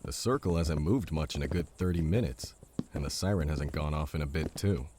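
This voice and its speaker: Man playing deep, cocky war veteran Clay. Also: a little fast, background noise. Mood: suspicious. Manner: blunt, boastful. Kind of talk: audio drama dialogue.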